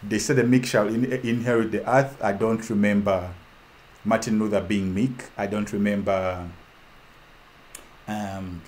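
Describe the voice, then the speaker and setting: Low-voiced man from Uganda, impassioned casual host, lots of repetition and ums, faint ambience. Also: steady tone, a little slow, darkish tone, a little hiss or hum. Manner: fervent, rhetorical, repeats phrases, trails off with ums. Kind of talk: casual talk to an online audience.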